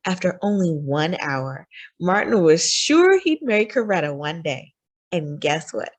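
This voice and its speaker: A woman reading a children's picture book aloud as a story time host, with expressive, deliberate phrasing for young listeners. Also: fairly low pitch.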